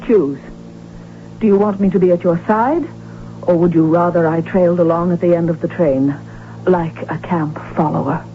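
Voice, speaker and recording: An actress playing a headstrong, devoted royal wife, proud, insistent and theatrical, in a 1970s radio drama. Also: female voice, lowish voice, normal speed, natural voice. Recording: tinny, faintly noisy old radio recording.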